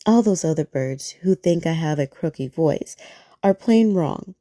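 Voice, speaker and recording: A woman's voice: sits low, lively intonation, unhurried, warm tone, no echo, clean studio sound. A woman doing a vain hurt crow. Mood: proud. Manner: wistful, then defiant and boastful. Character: proud lonely female crow, easily flattered.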